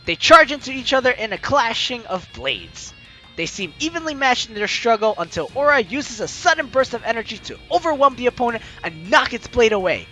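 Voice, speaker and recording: A man with a strikingly high, bright voice reads a visual novel aloud as a let's play host. His delivery is theatrical and dramatic, over background music. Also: big pitch swings, a little fast. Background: game music.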